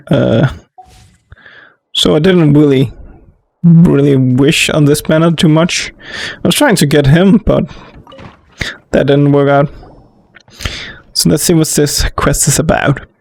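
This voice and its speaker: Creaky-voiced man rambling over gameplay. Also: fairly high pitch, measured pace, darkish tone, dry recording. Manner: rambling, informal. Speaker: gamer, let's player. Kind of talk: casual gameplay commentary.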